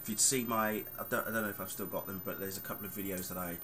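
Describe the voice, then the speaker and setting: A man's voice: low-pitched, steady tone, very fast, bright, forceful tone. A man, chatting casually and rambling on a vlog with plenty of fillers. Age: 30s. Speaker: vlogger. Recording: clear background noise.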